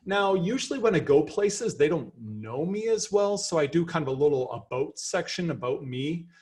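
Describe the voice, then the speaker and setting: A middle-aged Canadian man with a Canadian accent giving a lively, chatty seminar lecture as a professor, enthusiastic and self-deprecating. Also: a little high, moderate pace.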